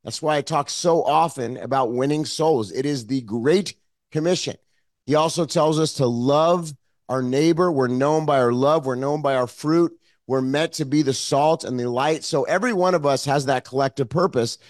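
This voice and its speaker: A man with a hoarse, froggy voice, preaching an online Sunday sermon as pastor in an earnest, conversational way with frequent fillers. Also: mid-range voice, a little mellow, dry recording, clean studio sound.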